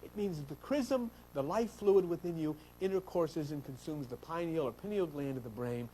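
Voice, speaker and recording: A man giving an animated esoteric religious lecture, speaking emphatically with repetition and rhetorical questions. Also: a little high, normal speed, slight background noise, poor audio. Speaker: spiritual lecturer.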